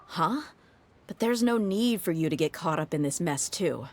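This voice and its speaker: A woman's voice: fairly low pitch, animated, moderate pace, slight background noise, close to an everyday voice. An actress playing a tough, blunt young female mercenary in a video game, talking in an easygoing, matter-of-fact way. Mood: steady. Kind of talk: game voice acting, story dialogue.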